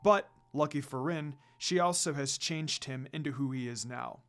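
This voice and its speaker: A man voicing a calm, kindly dog demon spirit, a father speaking gently and solemnly in a fan fiction reading. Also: mid-range voice, moderate pace, clear, resonant tone, pitched below the performer's own voice, close to an everyday voice.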